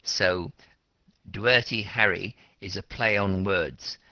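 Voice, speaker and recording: A man at the front of a university language class, his low voice carrying through a muffled recording as he quizzes students and spells out meanings. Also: takes it slowly, sharp tone, dry recording. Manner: explains words simply, repeating and rephrasing. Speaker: teacher of an English class. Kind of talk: interactive classroom lecture, questioning students.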